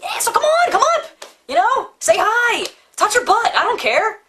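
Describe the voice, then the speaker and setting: A woman voicing a naive, earnest schoolboy puppet, a pushy friend who talks haltingly and repeats himself in comic dialogue. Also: very high voice, very animated, background noise. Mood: coaxing. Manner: halting, repetitive pep talk and baffled questions.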